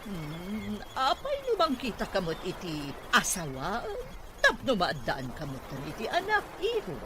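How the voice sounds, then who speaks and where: A woman's voice: mid-range voice, huge pitch swings, measured pace, very noisy. A woman playing a nagging older mother who presses her son, in an audio drama. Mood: nagging, insistent. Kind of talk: Ilocano audio drama acting.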